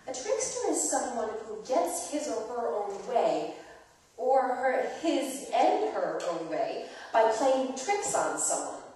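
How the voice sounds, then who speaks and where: Storytelling aunt, played by a woman: gentle, soothing, lively pitch, staged reading recorded far off in a very echoey church. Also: slow. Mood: reassuring. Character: gentle storytelling aunt Tamar from the Hebrew Bible. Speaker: narrator in a staged myth reading. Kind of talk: dramatic storytelling to children. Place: church performance space.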